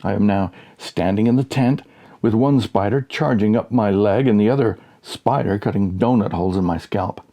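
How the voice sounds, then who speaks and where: Low, mellow, creaky male storyteller. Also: normal speed. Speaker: audiobook narrator. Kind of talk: scripted narration of a humorous short story.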